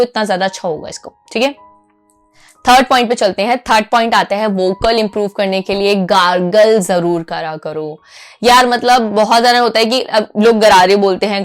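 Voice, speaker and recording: An Indian woman speaks as an anchor coaching her viewers, in a mid-pitched voice. She is informal and emphatic, repeating key phrases and often checking that listeners follow. Faint music plays behind her. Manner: mixes Hindi with English phrases. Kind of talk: informal instructional video talk to viewers.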